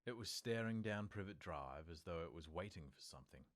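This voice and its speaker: A man with a low-pitched voice narrates a story. He reads from a script in the manner of an audiobook narrator, telling the tale as prose. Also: animated, fairly quick.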